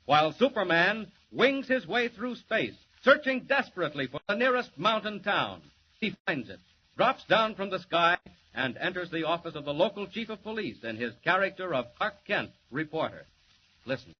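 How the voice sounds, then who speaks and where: A man's voice: high-pitched, sing-song, moderate pace. A man narrating dramatically and suspensefully as a 1940s radio serial announcer. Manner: suspenseful, theatrical. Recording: muffled hissy 1940s radio recording.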